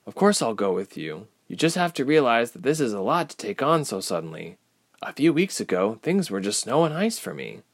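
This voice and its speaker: An anxious young woman acted out by a man in an audiobook story, lifted in pitch. She is reluctant and homesick, speaking in a plaintive, sighing way with lively intonation. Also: fairly quick. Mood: cautious. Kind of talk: audiobook character voice.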